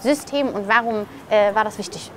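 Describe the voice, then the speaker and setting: A woman's voice: medium pitch, normal speed, fairly bright tone. A woman hosting an interview casually, with enthusiastic, slangy interjections. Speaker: interview host. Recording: clear background noise, live ambience.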